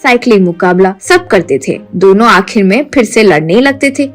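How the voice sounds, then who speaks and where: A woman's voice: medium pitch, animated, normal speed. Woman narrating a story over faint music.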